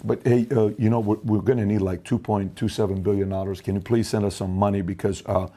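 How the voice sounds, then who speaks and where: Emphatic man with a mellow voice. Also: lowish voice, flat delivery. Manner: emphatic, argumentative, acts out opponents in quick quoted asides. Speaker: host. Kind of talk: opinion monologue to camera.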